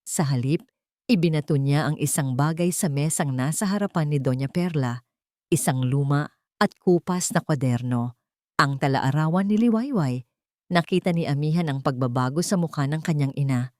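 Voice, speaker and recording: A woman reading a dramatic scripted story as narrator, in a measured and solemn manner. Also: low voice, dark tone, no echo, clean studio sound.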